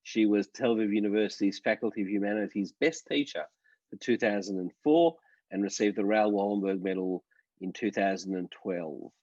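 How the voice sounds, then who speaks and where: A man's voice: lowish voice, steady tone, fairly quick, darkish tone, no echo. A man hosting an online panel, polite and hesitant, with many ums and restarts. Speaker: host, moderator. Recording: dry, dull lo-fi sound.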